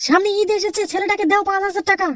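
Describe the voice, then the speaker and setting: A woman's voice: very high voice, little pitch movement, very fast, dry recording. A woman voicing a long-suffering cartoon mother and wife, plaintive and coaxing. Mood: pleading. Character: long-suffering mother, complaining wife pleading for her son.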